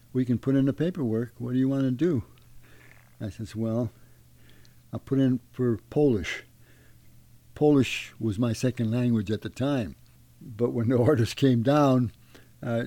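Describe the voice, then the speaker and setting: An older man, a retired army veteran, recalling his past in a halting way with many pauses. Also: mid-range voice, mellow, no echo. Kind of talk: casual recollection.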